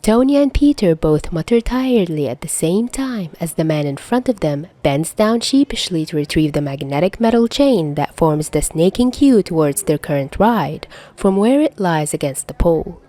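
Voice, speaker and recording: A woman narrates a story in a mellow, fairly low voice. Her intonation is lively and varied, and the recording is very dry, like an audiobook. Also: normal speed, hardly any pauses, dry recording. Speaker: podfic narrator.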